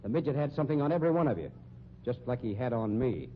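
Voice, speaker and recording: An actor playing a tough, slangy, commanding sideshow magician and boss in a 1950s radio drama. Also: male voice, mid-range voice, sing-song, fast talker, lightly acted. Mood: hard, urgent. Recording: muffled, noisy 1950s radio recording.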